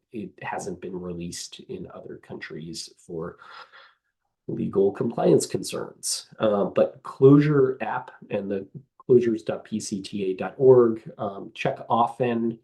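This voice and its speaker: A hoarse man giving a webinar talk as staff of a trail association, earnest, with frequent ums and uhs. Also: fairly low pitch, dark tone, poor audio. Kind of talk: webinar presentation. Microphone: video call.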